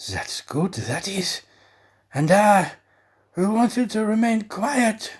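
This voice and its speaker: Guy doing a flustered timid french servant in a storybook, baffled, husky, swoopy pitch. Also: very animated, slow pace, full character voice. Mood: muttering dismay. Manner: astonished, muttering. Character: bewildered, flustered French manservant, fussy and timid.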